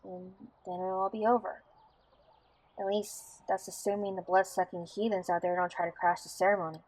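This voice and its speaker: A woman's voice: medium pitch, flat delivery, fairly quick, darkish tone, lightly acted, rough recording. A woman playing a feisty, blunt young farm woman and bride-to-be in an audio drama, forthright and sardonic. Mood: indignant.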